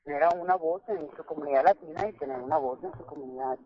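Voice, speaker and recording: A woman, an English speaker, giving earnest conversational advice as a radio caller, halting and repeating words for emphasis. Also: sits low. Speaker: phone-in caller, English teacher. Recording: muffled telephone line on radio.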